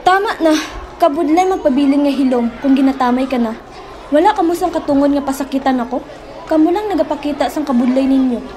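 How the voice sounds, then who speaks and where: A woman's voice: high voice, fast talker, mellow, lightly acted. A woman with a Filipino accent playing an earnest, bullied schoolgirl in a student radio drama. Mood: defiant. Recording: faint crowd and noise behind.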